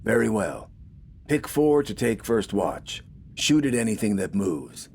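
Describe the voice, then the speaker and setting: A man's voice: on the low side, sing-song, unhurried, vocal fry, darkish tone, slight background noise, voice pushed up, full character voice. A man voicing a curt, authoritarian young militia corporal with a terse, commanding and menacing manner. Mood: curt orders.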